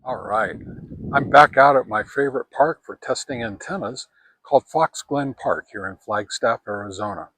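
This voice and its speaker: An older American man giving a casual, unhurried product review, explaining things step by step. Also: mid-range voice, a bit bright, slightly rough, rough recording. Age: older, 60s or above.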